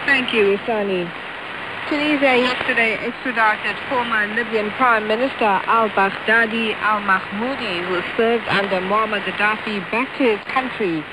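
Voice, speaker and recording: A woman's voice: mid-range voice, normal speed. A woman reading scripted news on the radio, received over shortwave. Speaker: radio newsreader. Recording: very noisy shortwave radio reception.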